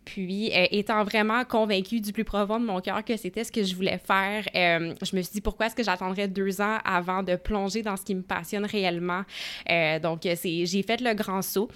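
A woman's voice: medium pitch, fairly even intonation, normal speed, fairly bright tone, clean tone. A woman in her twenties from Gatineau with a Québécois French accent, a nutrition researcher telling her story as an interview guest, eager and full of fillers and restarts. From Gatineau, Quebec, Canada. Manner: enthusiastic, many fillers and restarts. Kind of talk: casual interview talk.

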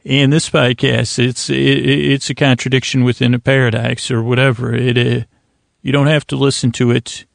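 A man with an American accent and a crackly, fry-laden voice talks listeners toward sleep. His delivery stays fairly even as he meanders, mumbles and halts through soothing tangents. The sound is close and very dry, like a podcast mic. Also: mid-range voice, moderate pace, no echo. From Syracuse, New York, United States. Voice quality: creaky, gravelly. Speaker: host of a bedtime sleep podcast. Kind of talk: rambling, soothing bedtime monologue.

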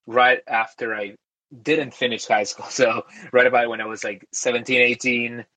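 A young man from Argentina, a guest talking casually in an interview, relaxed and talkative with frequent fillers and restarts. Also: medium pitch, moderate pace, rough recording. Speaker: guest, tech founder.

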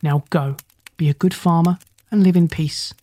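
Woman reading a firm, principled gentleman doctor. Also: lowish voice, fairly even intonation, no echo, lightly acted. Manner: measured, firm, polite commands. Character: kindly but firm male animal doctor, morally stern. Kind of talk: children's storybook character voice.

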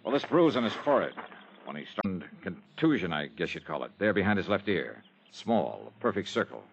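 A man's voice: sits low, big pitch swings, a little fast, vocal fry, slight background noise, heavily acted. A male actor playing a wry, skeptical American insurance investigator and narrator in a mid-century radio detective drama, terse and probing. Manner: terse, probing, dryly suspicious. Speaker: lead character and narrator. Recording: muffled old radio recording with music.

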